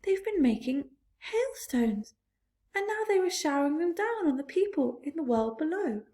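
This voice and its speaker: Earnest storybook boy, sensible and urgent, high-pitched, voiced by a woman. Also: very animated, normal speed, mellow.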